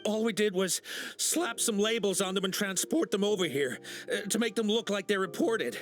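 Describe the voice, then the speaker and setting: A man's voice: sits high, normal speed, soft background music, lightly acted. An actor playing a nervous, cowardly captured smuggler who stammers and fills his answers with uh in a game cutscene. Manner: stammering, full of uh and nervous restarts.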